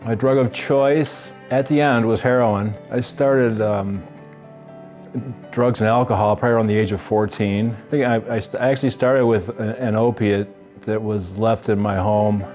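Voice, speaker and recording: A man's voice: fairly low pitch, steady tone, normal speed, a little mellow, soft background music. A man in his fifties in recovery, speaking plainly and reflectively in an interview. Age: 50s. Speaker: person in recovery. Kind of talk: personal testimony interview.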